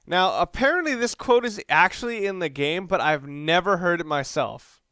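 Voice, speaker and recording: Higher-voiced man doing casual game commentary. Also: lively intonation, normal speed, bright, forceful tone, clean tone, dry recording. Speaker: video game let's player. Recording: game music and gunfire behind. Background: game music, gunfire.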